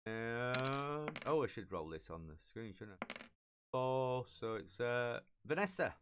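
A middle-aged man acting as game master, narrating and calling rules haltingly, humming little filler syllables as he thinks. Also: mid-range voice, lively intonation, background noise. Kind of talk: improvised tabletop game narration and rules talk. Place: small indoor room, online video call.

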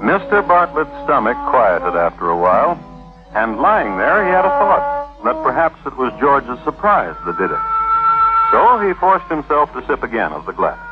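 A man's voice: mid-range voice, very animated, normal speed, lightly acted. A man giving dry, droll, formal scripted narration for a 1950s radio program. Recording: tinny 1950s radio recording, music behind.

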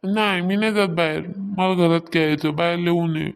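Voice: mid-range voice, steady tone, smooth, lightly acted. A performer voicing a mischievous little boy in a cartoon, whining and pleading. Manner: whining, drawn-out pleas. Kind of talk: Marathi comedy cartoon dialogue.

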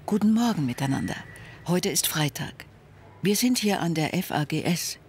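A man voices the translated words of a signer in measured, first-person sentences. His voice sits a little high for a man and has a gravelly edge. A faint hiss lies under the voice. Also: expressive. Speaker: voice-over interpreter. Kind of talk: read voice-over translation of signed speech.

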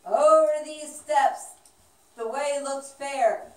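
A woman's voice: high-pitched, takes it slowly, darkish tone. A woman voicing an earnest little mouse traveler with a sing-song manner in a children's puppet show. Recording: echoey room, far from microphone.

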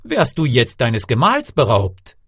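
Man acting an excitable storybook king, pitched up. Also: unhurried, husky, no room sound, clean studio sound, full character voice. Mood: indignant. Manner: theatrical, exclamatory, loud when alarmed. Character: excitable, indignant young fairy-tale king. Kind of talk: storybook character voice in audiobook reading.

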